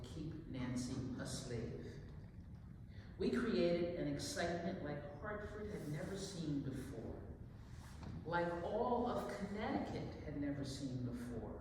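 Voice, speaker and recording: A man reading the part of a proud, indignant abolitionist in a deliberate, declamatory dramatic poetry reading. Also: on the high side. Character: proud, indignant abolitionist who helped free a slave. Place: echoing indoor hall. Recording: echoey hall, distant mic, clear noise.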